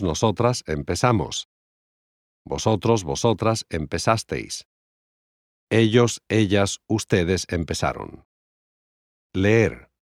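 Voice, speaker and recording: Man, language course reader, extremely slow pace, careful deliberate enunciation, scripted drill delivery, dry sound. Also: on the low side, a little mellow, dry recording. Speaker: language course voice reading Spanish examples. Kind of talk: scripted language lesson drill, reciting conjugations.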